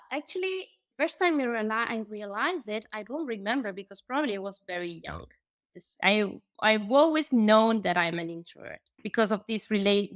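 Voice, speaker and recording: Italian-accented woman, hesitant and soft-spoken. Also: on the high side, dry recording, studio quality. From Italy. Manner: hesitant, many fillers and restarts. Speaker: guest, language coach. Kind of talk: casual interview conversation.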